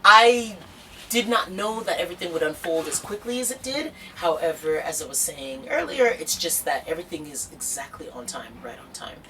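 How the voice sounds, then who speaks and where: A woman's voice: lowish voice, big pitch swings, moderate pace, pushed and bright, distant mic, a little hiss or hum, low-quality recording. A young woman talking about her music in an earnest, rambling interview full of fillers and restarts. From United States. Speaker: singer-songwriter. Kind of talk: casual documentary interview.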